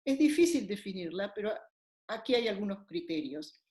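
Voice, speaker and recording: A woman lecturer lays out her points one by one in a measured, explanatory way, now and then pausing on a filler. Her voice sits at an ordinary middle pitch. The room around her gives the sound a noticeable echo. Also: animated, a little mellow. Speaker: linguistics lecturer. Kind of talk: recorded academic lecture. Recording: echoey room.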